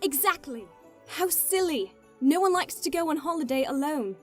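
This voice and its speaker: A woman plays a scatterbrained, cheerful sidekick in a radio drama. She sounds childlike and quirky, with a high, very lively voice and soft music underneath. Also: normal speed. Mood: puzzled. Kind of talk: audio drama acting.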